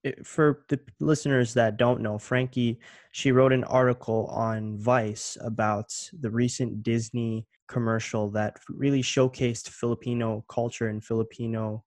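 A young man from Canada hosts a casual interview, easygoing and a little halting, feeling his way through questions with "um"s and restarts. His voice sits at an ordinary middle pitch in a dry, close recording. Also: dry recording. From Canada (Ontario). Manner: relaxed, hesitant, with many "um"s and restarts. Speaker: interview host.

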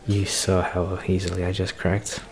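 A man playing a shy, flirtatious celebrity in an ASMR romance roleplay, speaking hesitantly with nervous soft laughs. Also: sits low, gravelly, exaggerated voice. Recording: bar crowd chatter behind voice. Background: crowd noise of a bar.

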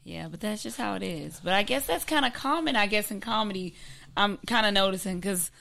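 American woman chatting casually, medium pitch. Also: fairly quick, creaky, pushed and bright. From Texas, US. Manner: repeats phrases for emphasis. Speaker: host. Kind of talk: casual chat.

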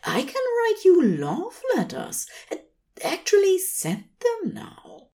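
A woman's voice: very high-pitched, huge pitch swings, slow pace, mellow, pitched above the performer's own voice, exaggerated voice. A woman voicing a fussy, tender male angel who is earnest and adoring, with a fervent, dreamy delivery.

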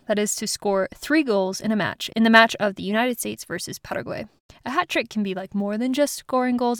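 Woman, podcast host, fairly high voice, chatty, dry close-mic sound. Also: no echo. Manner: chatty, laughs at her own mispronunciations. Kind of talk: casual educational narration.

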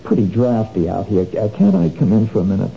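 An actor playing a sly man at a door who insinuates in a halting way in a 1970s radio drama. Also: fairly low pitch, sing-song, creaky, slight background noise. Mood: insinuating. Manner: halting, insinuating, full of uhs. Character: sly, hesitant young blackmailer. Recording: dull old radio broadcast.

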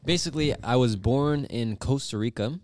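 A man's voice: mid-range voice, normal speed, a little mellow, no room sound. A man in his twenties, from Bolivia, a podcast guest telling his story in an easygoing way, full of "you know" and "like". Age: 20s. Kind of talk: casual interview chat.

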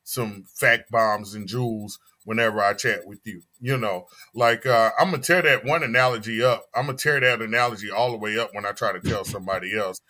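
Podcast host, man, early forties, bright tone, animated and emphatic. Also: fairly low pitch, brisk, hardly any pauses. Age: 40s. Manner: animated, emphatic, repeats phrases. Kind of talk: casual chat.

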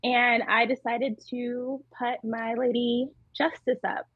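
A woman with a small, tiny voice, chatting casually as an interview guest, laughing often and pausing with fillers. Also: fairly high pitch, level tone, husky, dry recording, phone-quality sound. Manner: giggly, hesitant with many fillers. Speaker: muralist guest.

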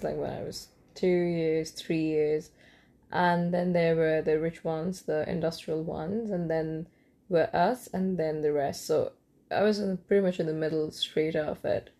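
A woman's voice: low voice, vocal fry, dark tone. A young woman from Kolkata with a cold, talking in a loose late-night podcast monologue with many ums and restarts. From India, Kolkata. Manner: rambling with frequent ums and restarts, light laughs. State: has a cold. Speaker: podcast host.

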